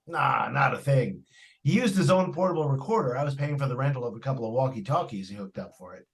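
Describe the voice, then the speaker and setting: A man's voice: mid-range voice, expressive, fast talker, close to an everyday voice. A man playing an eager, talkative property salesman in old-time radio suspense style, with a folksy, persuasive manner. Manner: folksy, persuasive, colloquial phrasing. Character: eager, talkative salesman trying to unload a haunted house.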